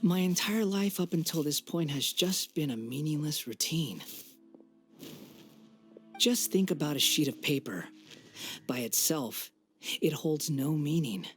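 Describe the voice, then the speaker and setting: A man's voice: a little high, lively intonation, a little slow, long pauses. An arrogant young man with godlike ambitions in an anime game. He speaks with a theatrical, condescending air, savoring every taunt. Music plays behind his words. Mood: scornful, bitter. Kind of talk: English-dubbed anime game voice acting. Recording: background music under the voice.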